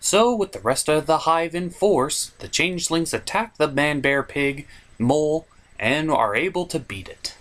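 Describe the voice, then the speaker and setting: A man's voice: a little high, expressive, unhurried, hardly any pauses, fairly bright tone. A man giving a comedic recap as a cartoon reviewer, sarcastic and mocking in manner.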